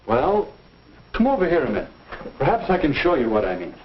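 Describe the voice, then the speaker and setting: An actor playing a genial, earnest minister counseling young people in an avuncular, folksy 1950s instructional film style. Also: male voice, a little high, huge pitch swings, slight background noise. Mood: explaining. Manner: avuncular, measured, folksy lecturing. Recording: dull 1950s film soundtrack.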